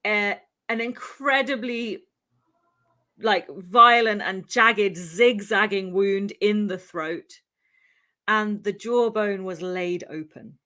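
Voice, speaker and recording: A woman's voice: mid-range voice, slow, pushed and bright. A woman from Wales, co-hosting a podcast, tells a true crime story in a chatty, laughing way full of ums and asides, her English carrying faint Welsh traces. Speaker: podcast co-host.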